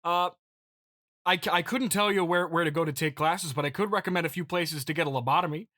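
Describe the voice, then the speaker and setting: A man with a forceful, bright voice in a fairly high register delivers an improvised comedic monologue as a podcast host. He speaks haltingly, restarting and repeating words in a mock-earnest, aggrieved manner. The sound is dry and close, with little room to it. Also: dry recording. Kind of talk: improvised comedic monologue reading listener feedback.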